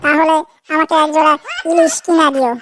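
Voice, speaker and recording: A very high, fairly even-toned young Bengali girlfriend from a comedy cartoon, acted by a woman. She is coy, demanding and quick to take offence, pleading and repeating herself. Some noise sits behind the voice.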